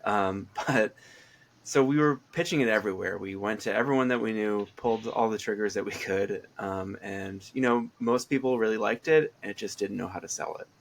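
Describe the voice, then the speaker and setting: A man with a low, gravelly voice and a stuffy, congested nose talks reflectively in a casual interview. He is a comic writer, and he fills pauses with ums as he thinks aloud. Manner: reflective, with fillers and laughs.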